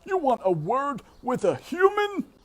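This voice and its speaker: A reader voicing an irritable, officious hooded male mouse guard in a storybook, loud, pompous and impatient. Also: high-pitched, huge pitch swings, a little slow, voice pushed up, heavily acted. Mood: irritated, scornful.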